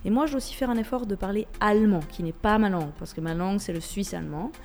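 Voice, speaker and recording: Woman, Swiss French accent, hesitant, mellow tone, faint music behind. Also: medium pitch, fast. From Switzerland. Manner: hesitant, many fillers and restarts. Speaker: radio guest. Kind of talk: radio interview.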